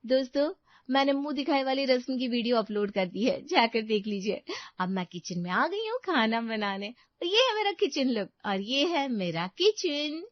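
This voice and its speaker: A woman's voice: high voice, very animated, normal speed, dry recording, highs cut off. A woman voicing a vain, bossy young bride who chirpily performs for her followers in a cartoon story. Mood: showy. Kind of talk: cartoon moral-story dialogue.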